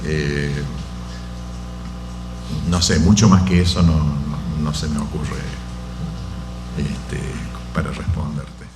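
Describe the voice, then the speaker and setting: A man answering audience questions after a lecture, slow and halting with many fillers. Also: extremely low pitch, level tone, echoey room, noisy background. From Argentina. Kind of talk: academic Q&A answer.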